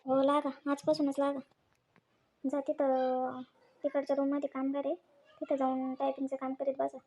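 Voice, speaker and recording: A woman's voice: high-pitched, monotone, moderate pace, dark tone. A woman playing a courteous, approving office boss in a family story cartoon. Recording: faint background noise.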